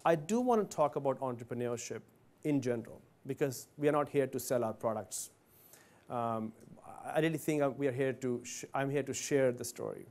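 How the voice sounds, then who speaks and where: A man's voice: medium pitch, normal speed, a little mellow. A man in his forties from Pakistan, giving a conversational talk to students as a company CEO and asking the audience questions directly. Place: university event hall.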